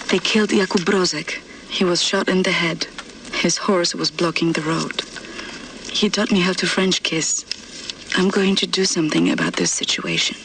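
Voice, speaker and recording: A woman voicing a grave young diary reader in a video game audio log, soft, restrained and matter-of-fact. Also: fairly low pitch, far from the mic, noisy background. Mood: somber.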